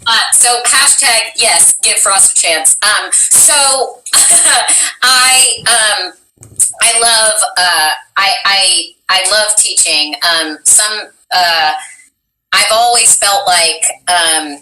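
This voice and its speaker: A deep-voiced woman voice actress chatting casually, animated and full of fillers. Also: drawn-out words, a little hiss or hum. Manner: animated, rambling with many fillers. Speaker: voice actress and teacher. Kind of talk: casual interview chat. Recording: roomy echo, distant mic, faint music.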